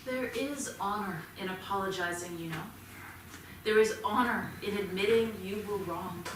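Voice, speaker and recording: A woman in a staged reading playing a proud, supportive mother, speaking earnestly and gently but with resolve. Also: medium pitch, normal speed, close to an everyday voice. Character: proud, supportive, sincere mother of teenage boy. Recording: very echoey theatre, distant mic, noisy.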